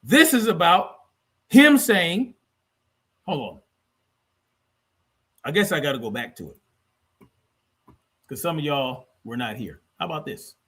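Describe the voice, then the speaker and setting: A man's voice: fairly high pitch, very animated, measured pace, pauses often, fairly bright tone, breathy edge. A man hosting a livestream in a combative, blunt, profane way, repeating phrases for emphasis as he reacts to clips and chat. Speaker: livestream host.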